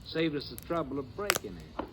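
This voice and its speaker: An actor playing a cool American robber in a radio western, commanding and mockingly polite. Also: male voice, mid-range voice, sing-song, fairly quick, background noise, lightly acted. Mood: menacing, mocking. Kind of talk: old-time radio western acting.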